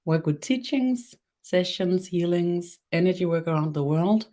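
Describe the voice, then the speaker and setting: A woman with a Danish accent, hosting a live webinar and guiding a body meditation, slow and halting with fillers. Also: fairly low pitch, animated. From Denmark. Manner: soft, guiding instructions. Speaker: webinar host, energy worker.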